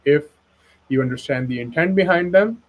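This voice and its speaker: A man's voice: a little high, moderate pace, phone-quality sound. Earnest man from Pakistan. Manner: earnest, persuasive, fillers like "right?" and "like". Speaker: expert guest. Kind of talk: casual webinar conversation.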